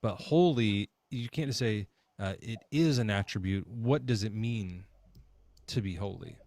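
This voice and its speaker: A man's voice: lowish voice, a little slow, a little mellow. A man hosting a call-in debate show, questioning callers in a joking, sarcastic way.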